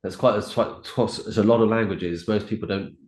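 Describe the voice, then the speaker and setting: A man's voice: on the low side, normal speed, darkish tone. A man from the UK hosting an interview, asking friendly questions and repeating himself as he asks. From United Kingdom. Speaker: podcast host. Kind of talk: casual interview conversation. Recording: roomy echo.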